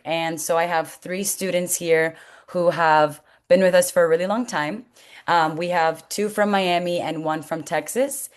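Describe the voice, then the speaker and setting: A woman hosting a webinar in an encouraging, semi-formal way, introducing guests and asking them questions. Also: low-pitched. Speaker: host, college coaching staff.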